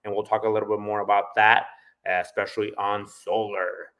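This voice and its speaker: A man's voice: lowish voice, level tone, a little fast, fairly bright tone. Male sales entrepreneur, native Spanish speaker with Spanish accent, emphatic casual podcast delivery. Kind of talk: casual live podcast talk.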